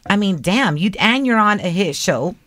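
A woman's voice: medium pitch, expressive, moderate pace, a bit bright, no echo. A woman hosting a podcast, asking direct questions in casual, frank chat. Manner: direct, frank questioner who swears freely. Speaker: podcast host. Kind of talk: casual interview.

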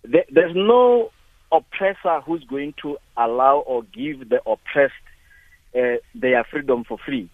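A man's voice: mid-range voice, slow, no echo. A South African man calling in to a radio talk show, speaking with passion and often repeating and restarting his words. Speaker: caller. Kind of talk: call-in radio comment. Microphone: phone. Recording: tinny phone line.

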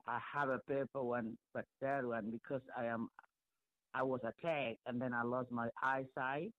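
A male caller with an Arabic accent speaks haltingly, repeating words and restarting as he searches for the right phrasing. His voice comes through a dull, muffled phone line with no room sound at all. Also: mid-range voice, fairly even intonation, dry recording. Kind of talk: phone-in call.

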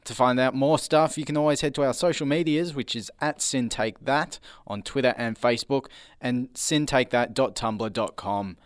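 A man's voice: mid-range voice, moderate pace, clear, resonant tone. A man co-hosting a casual radio show with playful, exaggerated enthusiasm. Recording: music playing behind the voice.

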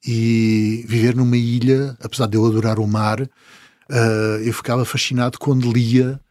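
A man in his fifties from the Azores with a European Portuguese accent, telling travel stories as an interview guest, chuckling and letting his sentences trail off softly. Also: mid-range voice, level tone, a little slow, dry recording, studio quality. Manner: storytelling, chuckling, trailing off quietly. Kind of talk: relaxed interview conversation.

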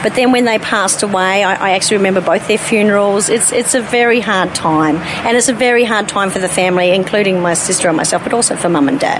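A woman's voice: medium pitch, fast, hardly any pauses. Upbeat New Zealand-born woman, noisy recording. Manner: upbeat and matter-of-fact about hardship. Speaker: interview guest. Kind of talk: personal story interview.

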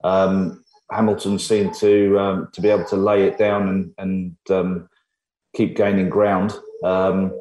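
A man with an English accent, co-hosting a racing talk show, explaining things slowly with many hesitations. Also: sits low, level tone, a little mellow, reverberant, muffled recording, a little hiss or hum. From Ireland. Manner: hesitant, full of ums. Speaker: co-host. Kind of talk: casual sports talk.

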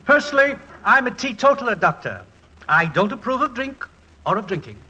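A man's voice: very high voice, big pitch swings, a little fast, pushed and bright, husky, full character voice. An actor playing a prim, pompous college board man with formal, disapproving speech in a 1940s radio comedy. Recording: noisy old 1940s radio recording.

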